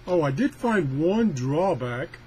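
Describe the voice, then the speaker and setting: A man's voice: fairly high pitch, sing-song, slow, darkish tone, slightly rough. A man talking casually through a hands-on product test, rambling along in a folksy, self-deprecating way. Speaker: product tester presenting a radio review. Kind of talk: casual hands-on demo chat.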